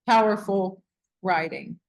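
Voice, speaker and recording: A woman host reading a book aloud in measured, emphatic phrases, with short comments of her own. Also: mid-range voice.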